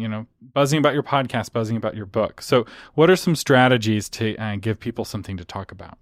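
A man hosting a podcast, asking his guest questions in a measured, casual way and letting his voice drop off at the ends of phrases. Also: mid-range voice, no echo, clean studio sound. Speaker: podcast host. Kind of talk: interview questions, casual chat.

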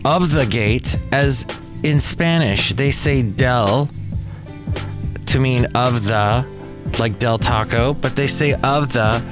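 A man hosting a solo podcast in a rambling, digressive way, with playful puns. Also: mid-range voice. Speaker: podcast host.

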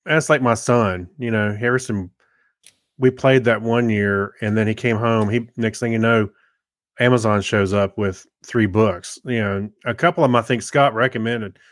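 Lowish-voiced middle-aged man, dry close sound. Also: steady tone, normal speed, no echo. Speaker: podcast co-host. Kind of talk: casual chat.

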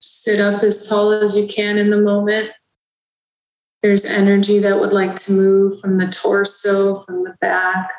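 A woman guiding a slow, soothing body-awareness meditation. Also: mid-range voice, monotone, darkish tone, reverberant, low-quality recording. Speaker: meditation guide.

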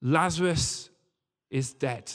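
A man's voice: a little high, slow, a bit bright. A man preaching a sermon, reading scripture and talking it through in a conversational, informal way. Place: large reverberant hall, church service. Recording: long hall reverb.

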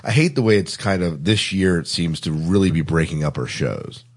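A man's voice: low-pitched, lively intonation, normal speed, husky. An American man hosting a casual podcast chat, easygoing and often repeating short phrases of agreement. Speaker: podcast host.

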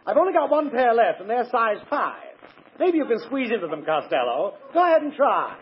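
Sarcastic store salesman, high and wildly animated, old radio comedy on a muffled recording. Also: brisk, noisy background. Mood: wisecracking. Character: wisecracking, sarcastic male sporting goods salesman. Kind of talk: 1940s radio comedy acting.

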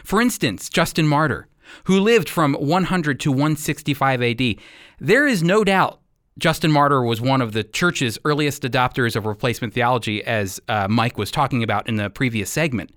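A man's voice: mid-range voice, lively intonation, moderate pace, fairly bright tone, no room sound, clean studio sound. A man hosting a religious radio program, explaining things earnestly in a conversational teaching style. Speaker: radio show host.

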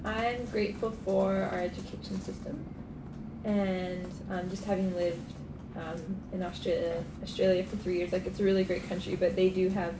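A woman's voice: fairly low pitch, little pitch movement, normal speed. A woman with a Canadian accent casually giving an unscripted answer at a group meeting. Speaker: meeting participant. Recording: echoey room with clear background noise.

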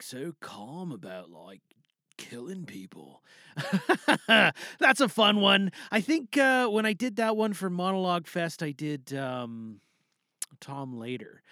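A man's voice: a little high, pushed and bright, no echo, studio quality. A man hosting an audio theatre podcast and signing off in an informal, rambling way. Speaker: podcast host and voice performer. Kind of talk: casual podcast outro.

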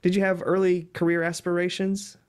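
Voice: mid-range voice, level tone, brisk, clean tone. An interviewer who poses pointed follow-up questions and steers the conversation from topic to topic.

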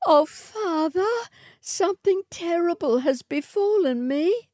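A woman's voice: extremely high pitch, lively intonation, slow, warm tone, dry recording, studio quality, pitched above the performer's own voice, exaggerated voice. A woman voicing a haughty, scheming young outback heiress in a theatrical manner for a short story. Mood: alarmed.

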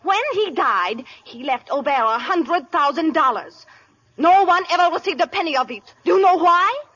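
An actress playing a melodramatic young heiress guarding a fortune, speaking in a theatrical, intense way in a 1930s radio play. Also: female voice, very high voice, expressive, normal speed, sharp tone, muffled recording, exaggerated voice. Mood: dramatic. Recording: scratchy 1930s radio broadcast.

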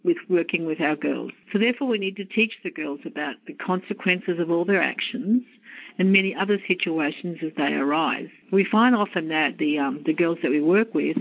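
A woman with an Australian accent, speaking as an education coordinator in a podcast interview, explaining her work in an earnest, measured way. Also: low voice, gravelly, highs cut off. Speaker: education coordinator at a maternity home.

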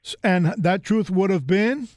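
High-voiced chatty man hosting. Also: measured pace, darkish tone, dry recording, studio quality. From United States. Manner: rambling, chatty, many fillers and restarts. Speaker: host. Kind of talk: casual interview chat.

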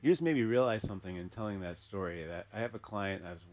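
A man hosting a podcast in casual conversation, haltingly searching for his words. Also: fairly low pitch, darkish tone. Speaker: podcast host.

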